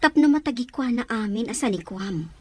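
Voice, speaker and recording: A woman's voice: a little high, animated, a little fast, warm tone. A woman acting a concerned younger sister in a radio drama, earnest and urging.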